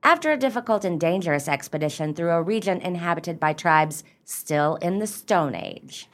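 A woman's voice: fairly low pitch, expressive, moderate pace, close to an everyday voice. A woman playing a prim, peevish, bookish clubwoman in a radio play, with a precise and huffy delivery. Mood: precise, reciting.

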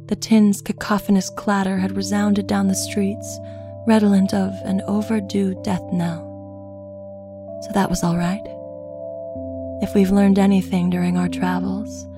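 Female narrator, unhurried lyrical storytelling, very level intonation, music clearly underneath. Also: medium pitch. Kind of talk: slow literary first-person narration.

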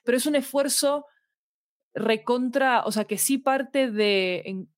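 A woman's voice: on the high side, takes it slowly, no room sound. Rioplatense woman thinking aloud, dry sound. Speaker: podcast producer, interview guest. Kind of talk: casual reflective conversation.

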